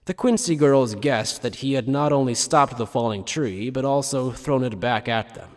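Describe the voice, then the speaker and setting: A man's voice: medium pitch, moderate pace, a little mellow. A man reading scripted dramatic narration for an anime fan dub.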